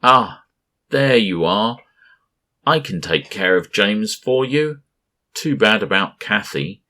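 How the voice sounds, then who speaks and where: A man voicing a giant gaseous male creature who mocks and menaces in a slow, leering drawl. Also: fairly low pitch, expressive, bright, forceful tone, voice pushed up, full character voice. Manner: taunting, drawn-out leering delivery.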